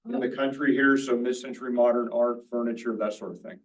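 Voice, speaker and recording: A man on the faculty, from Southern California, introducing himself in a relaxed, friendly way. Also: medium pitch, fairly quick, far from the mic, rough recording. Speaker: faculty member. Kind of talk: casual self-introduction.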